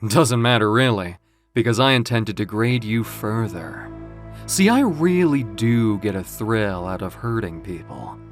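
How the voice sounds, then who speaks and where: A man's voice: lowish voice, animated, a little slow, faint music behind. A man voicing a cruel, gloating villain in an audio drama, with a theatrical, taunting, mock-tender delivery.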